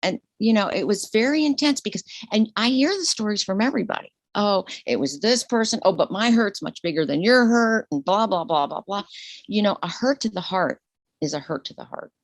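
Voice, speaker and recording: Animated woman in her sixties. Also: fairly quick, no room sound. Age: 60s. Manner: animated, laughing, repeats herself, voice leaps high when excited. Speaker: guest, retired nurse. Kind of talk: casual interview conversation.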